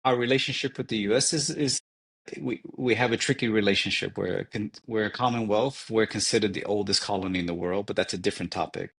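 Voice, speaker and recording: Interview guest: man, 40s, from New York, low voice, measured and reflective delivery. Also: fairly bright tone, breathy edge. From New York City. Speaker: guest, leadership advisor. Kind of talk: interview conversation.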